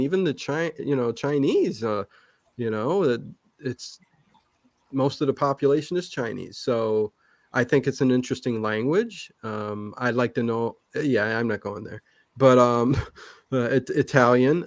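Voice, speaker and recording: A man's voice: medium pitch, no room sound, studio quality. A middle-aged man chatting casually on a livestream as a show host, talking in a rambling, self-interrupting way.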